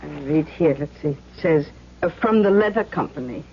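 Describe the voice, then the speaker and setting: A woman's voice: low voice, lively intonation, brisk. An actress playing a mild, hesitant middle-aged wife and mother in a radio drama. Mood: mild, domestic. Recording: muffled old radio recording, faint noise.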